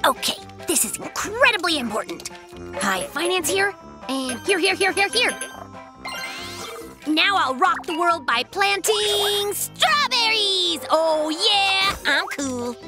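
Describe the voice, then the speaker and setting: Cartoon boy bear cub: very high, wildly animated, slangy show-off, music underneath. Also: takes it slowly, heavily acted. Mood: boastful. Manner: dramatic, boastful, slangy. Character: excitable young male bear cub obsessed with gaming. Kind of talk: children's cartoon voice acting. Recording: music behind the voice.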